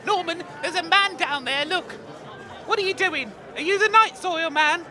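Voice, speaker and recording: A man's voice: very high voice, normal speed, sharp tone, heavily acted. A man playing a daft, scolding working-class woman at a comedy sports event, fussy and indignant. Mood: startled. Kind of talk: comedy sketch acting. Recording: outdoor crowd noise.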